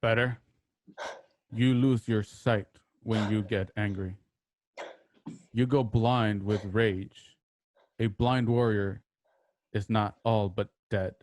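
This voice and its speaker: A man acts out a stern warrior mentor in a radio drama. His voice sits fairly low and moves very slowly, with solemn, terse phrasing. The sound is close and dry. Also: fairly even intonation, a little mellow, dry recording. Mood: stern warning. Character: stern, stoic male warrior mentor and fighter.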